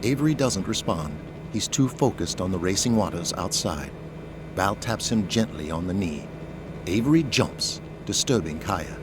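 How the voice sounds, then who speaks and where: A man's voice: deep voice, expressive, moderate pace, background noise. A man giving dramatic scripted narration as the narrator of an audio drama. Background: music.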